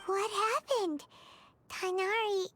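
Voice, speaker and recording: A woman's voice: fairly even intonation, slow, fairly bright tone, dry recording, heavily acted. A woman voicing a tiny, chirpy, childlike companion character in a video game, eager and inquisitive. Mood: curious. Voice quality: squeaky, childlike.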